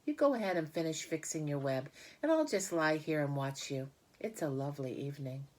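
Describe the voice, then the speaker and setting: A woman's voice: deep voice, expressive, brisk, a little mellow. A woman voicing a young male pig who is innocent, affectionate and anxious, asking things in an earnest, childlike way. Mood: sleepy, content. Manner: earnest, pleading, childlike questioning.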